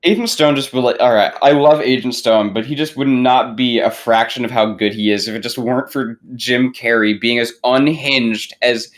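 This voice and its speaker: A man's voice: medium pitch, moderate pace, few pauses. A young man talking casually on a podcast in a slangy, emphatic way. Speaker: podcast panelist.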